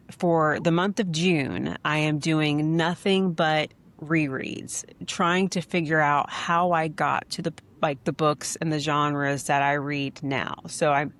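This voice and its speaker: A woman and podcast co-host chatting on a video call, rambling and often restarting her sentences. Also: sits low. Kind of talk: casual podcast chat.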